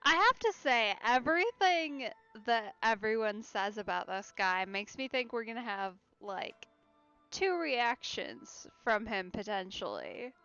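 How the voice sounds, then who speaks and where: Slow-talking woman streamer, slightly dull sound. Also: on the high side, sing-song, bright, forceful tone, clear, resonant tone. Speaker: let's play streamer. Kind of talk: casual game commentary. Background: game music.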